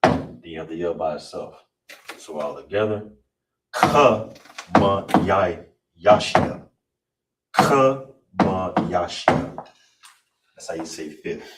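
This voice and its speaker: Low-voiced man teaching from a distance. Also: slow, pauses often. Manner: relaxed, repeats "you understand?" and "all right?". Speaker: online class teacher. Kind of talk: live-streamed language lesson. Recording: roomy, distant, faint hiss.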